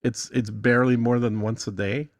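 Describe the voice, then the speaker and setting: A man with a gravelly voice giving a casual screen-share tutorial as a podcast guest, speaking bluntly and quick to correct. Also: mid-range voice, fairly even intonation, dry recording, studio quality. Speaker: podcast guest explaining music monetization.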